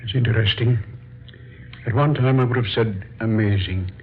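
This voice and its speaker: A man playing a kindly, resigned king in a radio drama, with measured, stately delivery. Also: on the low side, slight background noise, close to an everyday voice. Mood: grave, kindly. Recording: tinny, phone-like old radio recording.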